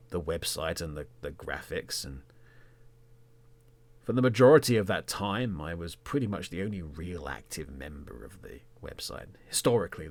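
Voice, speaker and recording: A man hosting a podcast, talking reflectively in a measured, conversational way, in short phrases. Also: rumbling low. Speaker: podcast host and presenter.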